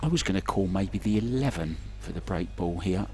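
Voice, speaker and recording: Low-voiced chatty man commentating. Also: animated, fairly quick, a little mellow. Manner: self-deprecating, jokey. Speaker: sports commentator. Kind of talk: chatty live pool commentary. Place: remote commentary from his own location.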